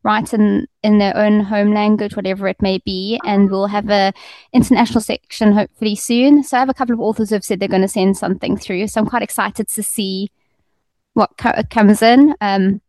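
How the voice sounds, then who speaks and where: A woman's voice: mid-range voice, steady tone, fairly quick, darkish tone, dry recording. A woman magazine editor describing her publication in a casual interview, talking easily with frequent ums and restarts. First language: Afrikaans second language; first language English. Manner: friendly, fluent with frequent ums.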